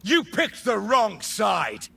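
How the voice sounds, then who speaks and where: Casting: a bitter, rousing rebel mage for a game; slow, deliberate, intense lines with dramatic swings. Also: male voice, high voice, wildly swooping pitch, vocal fry, husky, full character voice. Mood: rousing defiance. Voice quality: intense, forceful delivery. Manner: theatrical rallying cries and taunts. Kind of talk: video game character voice lines.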